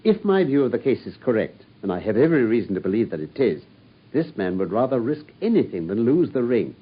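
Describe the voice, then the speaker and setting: A man's voice: medium pitch, sing-song, a little fast, husky. An actor playing a brilliant, confident male detective in an old radio drama. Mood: assured. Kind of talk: 1950s BBC radio drama acting. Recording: dull lo-fi old radio recording.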